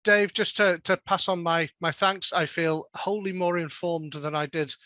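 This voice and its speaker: A man's voice: fairly high pitch, steady tone, normal speed, sharp tone, no room sound, lo-fi sound. A man hosting a webinar, politely reading out audience questions and thanking the speaker. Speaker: webinar host.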